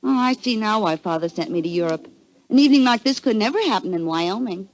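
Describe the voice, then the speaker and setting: A woman's voice: mid-range voice, lively intonation, fast talker, natural voice, rough recording. A woman playing a dismayed young American from Wyoming in a 1940s radio drama.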